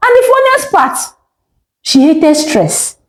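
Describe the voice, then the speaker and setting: A Nigerian woman storyteller with a fairly high voice that swings expressively up and down. She narrates dramatically, landing short phrases with emphasis and tossing out rhetorical questions. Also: very animated, slow.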